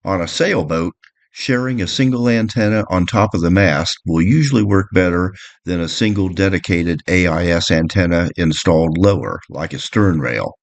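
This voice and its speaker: Male instructional presenter, notably low voice, explaining technical gear step by step. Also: normal speed, vocal fry. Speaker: presenter explaining boat electronics. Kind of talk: instructional narration.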